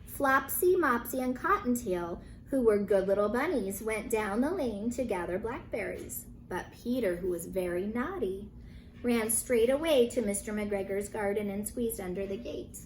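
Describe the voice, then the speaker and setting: A woman teacher reading a children's story aloud with animated emphasis, dramatic pauses and brief asides to her young listeners. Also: mid-range voice, a little hiss or hum, low-quality recording.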